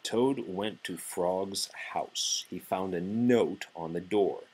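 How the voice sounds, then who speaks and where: A man reading a children's storybook aloud as a father would, in an animated, playful and deliberate way. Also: sits low, breathy edge, low-quality recording.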